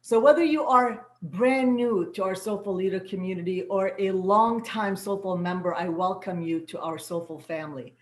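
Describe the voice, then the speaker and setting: A woman's voice: mid-range voice, moderate pace, few pauses. Arabic-speaking woman host with a roomy echo. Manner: gentle, affirming, repeats words for emphasis. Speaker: host, soul guide and coach. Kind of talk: inspirational welcome to an online gathering. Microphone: video call.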